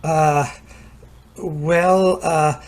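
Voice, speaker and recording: A man's voice: a little high, steady tone, very slow. An actor playing an everyman company technician in an old-time radio drama. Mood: defensive.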